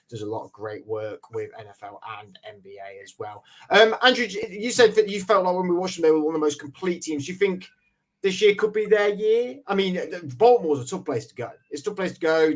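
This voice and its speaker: A man hosting a casual sports podcast in a chatty, informal way, slightly hoarse and sniffly with a cold. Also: fairly high pitch. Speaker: podcast host.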